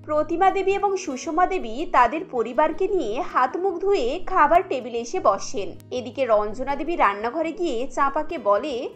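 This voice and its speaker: A woman narrates a scripted cartoon story. Her voice is high and lively, with music playing behind it. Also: moderate pace.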